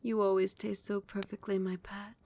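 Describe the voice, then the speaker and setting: A woman voicing a doting, possessive vampire queen in an ASMR roleplay, speaking softly and breathily, often dropping into a whisper, with tender, regal endearments. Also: mid-range voice, little pitch movement, moderate pace, gravelly, a little hiss or hum, lightly acted. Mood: intimate, praising. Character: doting, possessive vampire queen soothing her beloved pet.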